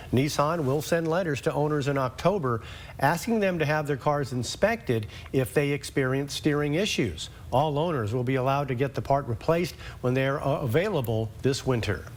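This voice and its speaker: Higher-pitched American male news anchor. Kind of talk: scripted news reading.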